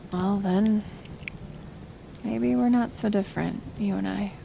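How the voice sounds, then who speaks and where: A woman playing a kind, stubborn, lonely half-elf healer in an intimate ASMR roleplay, speaking softly and hesitantly with soothing pauses. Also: mid-range voice, fairly even intonation, gravelly, background noise. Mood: vulnerable, musing.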